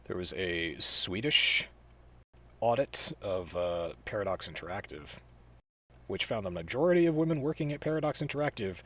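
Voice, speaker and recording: A man's voice: sits low, a bit bright. A man co-hosting a casual gaming podcast, talking bluntly and profanely with rambling restarts. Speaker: podcast co-host. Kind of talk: casual gaming news chat.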